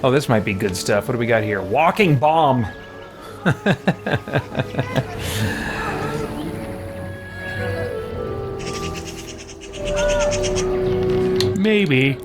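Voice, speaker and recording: A man hosting a game stream, casually commenting on play and answering chat in a musing, halting way as he thinks aloud. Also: medium pitch, wildly swooping pitch. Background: music.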